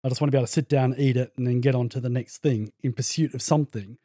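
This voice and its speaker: A man's voice: mid-range voice, fairly even intonation, very fast, mellow, no room sound, clean studio sound. An Australian man, a podcast guest, telling his story in a reflective, searching way in casual conversation.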